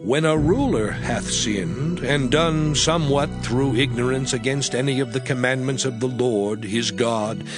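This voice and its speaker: A man reading scripture aloud in a solemn, measured dramatized narration, with music playing underneath. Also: mid-range voice. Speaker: scripture reader. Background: music bed.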